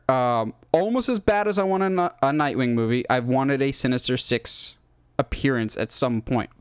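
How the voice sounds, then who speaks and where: A man's voice: a little high, no echo, studio quality. A man hosting a podcast, giving casual, opinionated fan commentary on movies in a rambling way that drifts into tangents. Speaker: podcast host.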